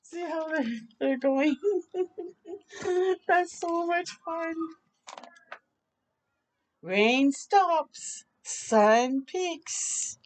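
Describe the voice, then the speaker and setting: A middle-aged woman with a high-pitched voice reads a picture book to children. Her pace is unhurried, with expressive exclamations and chatty asides to young listeners. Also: rough recording. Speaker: children's storytime host. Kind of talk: animated read-aloud with chatty asides for children. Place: outdoor balcony near a park.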